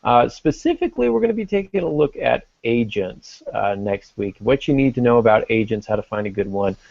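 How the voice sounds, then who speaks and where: A man's voice: medium pitch, animated, fairly quick, gravelly, a little mellow, no echo. A man hosting a podcast in an informal, conversational way. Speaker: podcast host. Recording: dull lo-fi audio.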